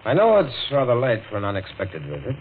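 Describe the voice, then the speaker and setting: An actor playing a sly, smooth blackmailer with an insinuating, mock-polite manner in a 1940s radio thriller. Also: male voice, mid-range voice, huge pitch swings, fast, phone-quality sound. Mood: sly, needling. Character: sly, smooth male blackmailer toying with his victim. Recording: thin, hissy old radio recording.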